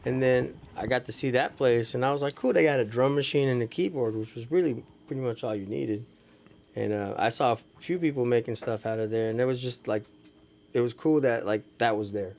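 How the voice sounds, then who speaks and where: A man's voice: mid-range voice, brisk, darkish tone. Casual man from California chatting as guest. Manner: informal, slangy, peppered with "you know" and "like". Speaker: podcast guest, DJ and studio engineer. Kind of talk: casual podcast chat. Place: small room with couches, indoors. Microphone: podcast microphone.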